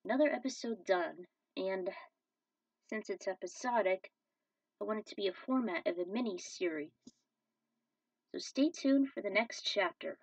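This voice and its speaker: A woman's voice: medium pitch, moderate pace, long pauses, no echo. A woman narrating and commenting on her own fan fiction as an amateur storyteller. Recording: dull, slightly distant microphone.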